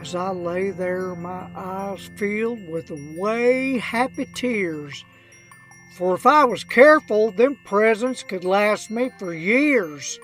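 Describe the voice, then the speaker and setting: Woman playing a slow-talking redneck old-timer. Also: mid-range voice, a bit bright, faint music behind. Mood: smug, wry. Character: crusty old redneck man, gun-toting, wryly unrepentant storyteller. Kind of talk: comedic rhymed storybook narration.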